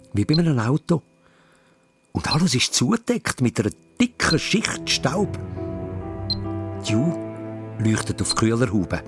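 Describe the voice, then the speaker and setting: A man with a Swiss accent narrating a children's radio play as a dramatic storyteller. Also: medium pitch. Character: lively storyteller of a children's adventure.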